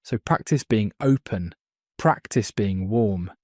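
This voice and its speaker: A man gives a beginner English lesson in a slow, carefully paced voice. His voice sits a little low. The recording is dry and close, with no room sound. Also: lively intonation, darkish tone, no echo. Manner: slow, clear, deliberate delivery for learners. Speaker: English teacher. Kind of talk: slow scripted lesson narration.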